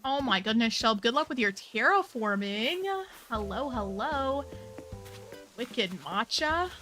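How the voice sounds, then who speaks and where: A woman's voice: a little high, expressive, slow pace, bright, forceful tone, soft background music. Bubbly young woman streamer. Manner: chatty, bubbly, says "like" a lot. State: slightly losing her voice. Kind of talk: casual game stream chat.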